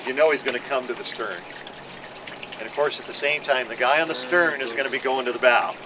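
A middle-aged man, a fishing boat captain, giving a practical, plain-spoken briefing to a group on the deck of a docked boat. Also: medium pitch. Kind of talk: instructional briefing to a charter group. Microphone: camera mic from a few feet away. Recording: outdoor camera audio with clear background noise.

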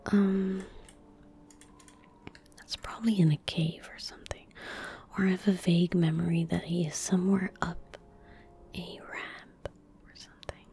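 A young woman gaming streamer in soft-spoken ASMR style, murmuring to herself in a breathy whisper at a close microphone. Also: on the low side, steady tone, slight background noise. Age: young adult. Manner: unhurried, murmuring to herself as she plays. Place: indoor room at a gaming setup. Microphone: close desk microphone.

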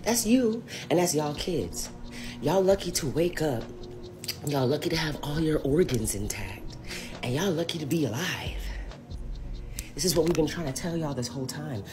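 A woman's voice: low voice, lively intonation, moderate pace, over music. A woman ranting emphatically into her phone camera at home, scolding her viewers. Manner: scolding, emphatic, rising and falling sharply. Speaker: social media commentator. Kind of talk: direct-to-camera rant. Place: indoors at home, selfie video. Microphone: phone camera at arm's length.

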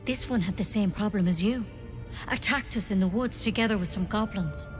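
An actress playing a caring young druid healer in a fantasy game, brisk, inquisitive and matter-of-fact. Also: female voice, medium pitch, lightly acted. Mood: hurried, helpful. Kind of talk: naturalistic fantasy game acting. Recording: long reverb with music behind.

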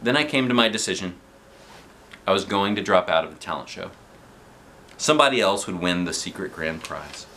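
Animated dad reading a kids' book. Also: male voice, low voice, normal speed, long pauses, a little hiss or hum. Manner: expressive, animated reading with asides. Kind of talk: children's book read-aloud. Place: living room sofa, indoors.

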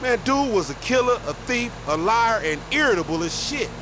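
A loudmouthed young hustler in a video game. His voice is high and swings all over, full of slangy, profane teasing, with vehicle noise behind it. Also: big pitch swings, normal speed. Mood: startled. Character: loudmouthed, wisecracking young street hustler, suspicious and excitable. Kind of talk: video game dialogue, bantering.

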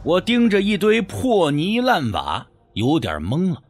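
A man narrating a first-person story as an audiobook, in an expressive, theatrical way. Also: high voice, a little slow. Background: background music.

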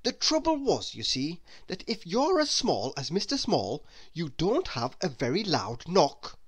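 A man reading a children's story aloud as narrator, in an expressive, deliberate way. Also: on the high side, husky. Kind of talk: scripted narration for young children.

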